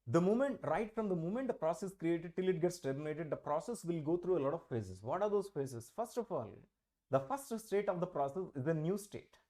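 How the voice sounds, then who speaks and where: Guy teaching online, fairly high voice, keeps asking questions and repeating himself. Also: expressive, brisk, warm tone. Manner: repetitive, rhetorical questions. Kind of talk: online exam-prep lecture.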